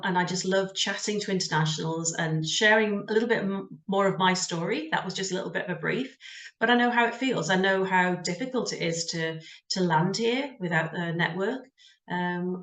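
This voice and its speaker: A woman's voice: fairly low pitch, echoey room. A middle-aged woman from the UK with a British accent, telling her story in a frank, conversational way as an interview guest, with frequent ums. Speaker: guest, job search coach.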